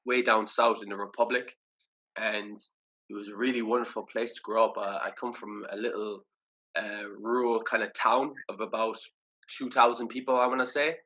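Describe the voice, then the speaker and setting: A man's voice: fairly low pitch, fairly even intonation, normal speed, sharp tone, highs cut off. A young Irish man in casual interview chat, reflective and loose, full of fillers and restarts. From Ireland. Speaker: podcast guest.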